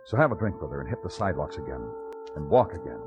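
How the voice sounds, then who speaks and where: An actor playing a brooding, world-weary police detective in 1950s noir radio style, terse and given to poetic phrasing. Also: male voice, rumbling low, huge pitch swings, fast, full character voice. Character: weary, hard-boiled male police detective, brooding and curt. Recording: muffled old radio recording with music.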